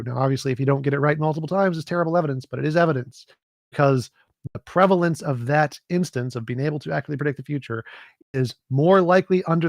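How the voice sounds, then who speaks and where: A man in a debate discussion, explaining points quickly with dry jokes. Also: on the high side, a little mellow, no echo. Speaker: debate participant. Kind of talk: casual debate talk.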